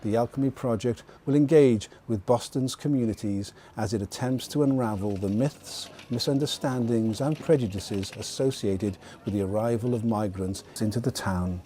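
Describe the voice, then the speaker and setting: A man narrating a documentary and asking interview questions in a measured, formal way. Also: medium pitch, warm tone, a little hiss or hum.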